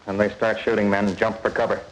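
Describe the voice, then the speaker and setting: A man's voice: fairly low pitch, flat delivery, brisk, natural voice. A man playing a terse, grim figure of a prison battle in a 1940s radio crime drama. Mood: grim, tense. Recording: echoey, noisy 1940s radio recording.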